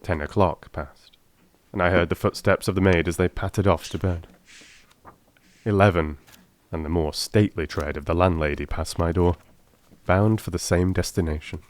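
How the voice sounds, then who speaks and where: A man's voice: sits low, fairly even intonation, moderate pace, pauses often. A man narrating an audiobook in the first person, reading scripted prose in a dramatic style.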